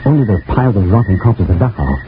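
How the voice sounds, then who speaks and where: A haunted crewman in a vintage radio play, brooding and full of suspicion. His intense, halting speech rises and falls widely. The recording is thin and tinny with clear noise. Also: lowish voice, big pitch swings. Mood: haunted, grave. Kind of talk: old-time radio drama acting.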